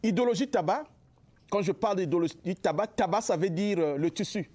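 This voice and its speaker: A young man from the Republic of the Congo, a musician guest answering in a TV interview, halting with many drawn-out fillers. His is Lari. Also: high voice, animated, a little mellow. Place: local TV studio.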